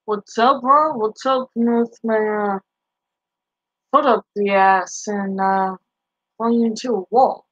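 Boston girl streamer on a headset, swearing a ton, slow drawl, kinda muffled lo-fi audio. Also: mid-range voice, steady tone, a bit bright, no echo. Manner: brash, profane trash talk. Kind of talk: live stream chat reply. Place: small bedroom. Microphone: headset mic.

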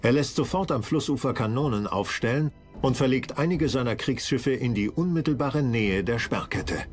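A man's voice: mid-range voice, expressive, normal speed. Male documentary narrator: scripted history read, mid-range, light music underneath.

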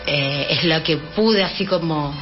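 Brief: woman in her fifties from Chile, low voice, halting and full of fillers, dull lo-fi radio sound with music under it. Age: 50s. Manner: hesitant, many fillers and restarts. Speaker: interview guest. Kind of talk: casual interview.

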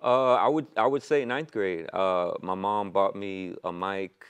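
A man, a rapper guest telling his story in an easygoing, relaxed way. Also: low-pitched, measured pace, clean tone. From New York. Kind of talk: casual interview chat. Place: TV-style studio set.

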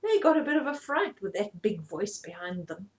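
A woman in her fifties reading a story aloud to children in an animated way, often asking the listener questions. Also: medium pitch, normal speed. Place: small indoor room at home.

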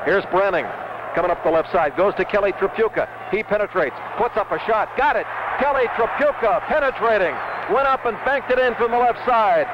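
A man calling live basketball play-by-play for radio in clipped, urgent phrases. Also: high-pitched. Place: basketball arena. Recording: muffled, noisy old radio broadcast.

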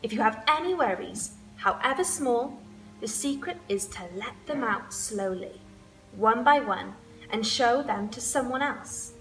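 Storybook old lady played by a woman: kindly, firm, reassuring, unhurried delivery. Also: a little high, lively intonation, fairly bright tone, soft background music, rough recording.

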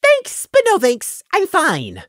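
A woman's voice: very high voice, wildly swooping pitch, slow pace, a bit bright, dry recording, clean studio sound, pitched above the performer's own voice, heavily acted. A woman voicing a small, nervous male lamb who works as a guard clerk, polite but evasive. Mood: guarded politeness.

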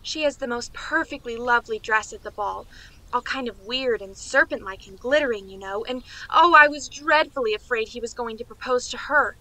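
A woman's voice: a little high, moderate pace, sharp tone, poor audio. A woman playing a chatty, naive young niece in a radio play, gushing and rambling in a girlish way. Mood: excited, rambling. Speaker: actress.

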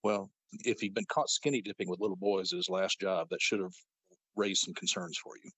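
A man with a very low, husky voice, telling his side of events as a guest. He speaks matter-of-factly, with an indignant edge. The recording is dry and close. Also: steady tone, brisk, fairly bright tone, no echo. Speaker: interview guest. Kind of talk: recounting events in an interview.

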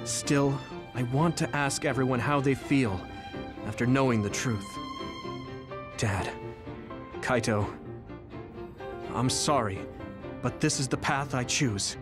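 An actor playing a resolute, earnest young soldier in an anime game, speaking plainly. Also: male voice, medium pitch, slow pace, pauses often, close to an everyday voice. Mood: resolved. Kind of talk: English-dubbed anime game acting. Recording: voice over loud music score.

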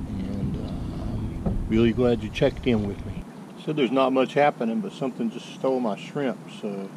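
A middle-aged man chatting casually to his action camera while fishing from a small boat outdoors, with wind and boat noise on the mic. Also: medium pitch. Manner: easygoing, chatty, talks while casting and reeling. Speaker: fishing vlogger.

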